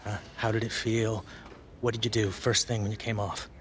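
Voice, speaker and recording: A man's voice: on the low side, flat delivery, moderate pace, a bit bright, slight background noise, close to an everyday voice. Intense, coaxing film director, played by a man. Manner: short, emphatic, repeated prompts. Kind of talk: video game cutscene acting.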